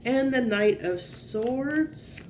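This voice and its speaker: A woman's voice: high-pitched, animated, extremely slow, a little hiss or hum. A woman with a congested, sniffly voice giving a casual tarot reading to camera. She meanders and restarts as she searches for words. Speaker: tarot reader.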